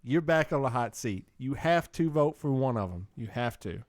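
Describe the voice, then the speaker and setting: A man's voice: medium pitch, animated, moderate pace, dry recording, studio quality. A man hosting a casual podcast discussion, slangy and informal, prodding the others with questions. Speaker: podcast host steering the discussion. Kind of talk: casual political banter.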